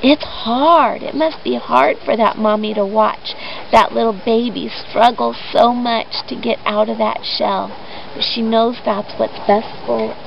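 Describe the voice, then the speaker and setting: A woman retelling a story for children in an expressive, deliberate way with dramatic pauses. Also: a little high, gravelly. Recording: dull lo-fi recording with background noise.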